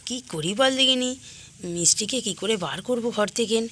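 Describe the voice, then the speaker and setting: A woman voicing a protective, bossy village mother in a children's cartoon, fussing and hushing in colloquial talk. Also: fairly high pitch, animated, brisk, a little hiss or hum. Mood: worried, hushing.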